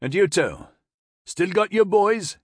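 A man's voice: medium pitch, wildly swooping pitch, moderate pace, sharp tone, no echo, clean studio sound, voice pushed up, heavily acted. A man voicing an authoritative special forces major in an audiobook, polite but firm and commanding. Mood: dry banter. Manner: commanding, polite, curt when crossed.

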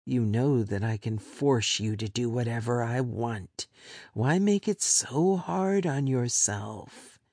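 A cruel, controlling man, as a narrator voices him who speaks low and slow with cold, mocking menace. The sound is close and dry, an audiobook read with no room around it. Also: a little mellow, no room sound. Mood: menacing. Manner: low, coldly mocking, growling.

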